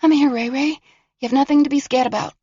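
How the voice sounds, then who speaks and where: Woman doing a scared teen girl, high and shrill, urgent, dull lo-fi audio. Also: steady tone, a little fast, warm tone, dry recording, close to an everyday voice. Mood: soothing. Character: frightened but defiant teenage girl.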